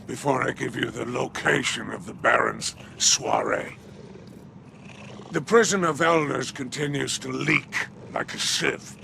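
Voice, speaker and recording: A man voicing a sly, grandiose alien crime boss in a video game, gravelly and theatrical, with florid, menacing phrasing. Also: medium pitch, noisy background, heavily acted. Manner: theatrical, florid, drawn-out phrasing with sinister chuckles. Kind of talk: video game character acting. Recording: loud music under the voice.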